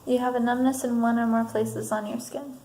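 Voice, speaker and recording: A woman doing a soft-spoken ASMR counselor roleplay, reading out assessment statements in an unhurried way with pauses. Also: a little high, level tone. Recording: roomy sound, faint background noise.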